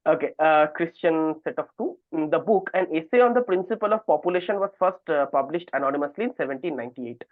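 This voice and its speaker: A man's voice: fairly high pitch, expressive, fast, few pauses, no room sound. A man reading quiz questions aloud as quizmaster and responding to contestants. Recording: narrow phone-like call audio.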